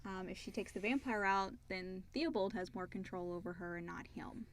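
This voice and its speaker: A woman's voice: medium pitch, moderate pace, slight background noise. A woman co-hosting a casual chat, talking and reading out questions. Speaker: co-host reading listener questions.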